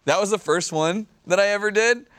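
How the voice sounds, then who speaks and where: A man with a slightly high voice chats as a podcast panelist. His storytelling is informal, slangy and sweary, peppered with filler words. The recording is tight and dry. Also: a little fast, a bit bright, no echo. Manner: slangy, sweary, heavy use of "like". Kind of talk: casual, informal storytelling chat.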